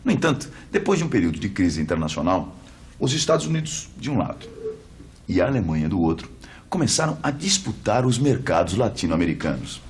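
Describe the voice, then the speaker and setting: A man's voice: sits low, very animated, a little fast, breathy edge. A man with a Brazilian accent presents a scripted educational explanation in a didactic way, posing rhetorical questions. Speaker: presenter of an educational history program.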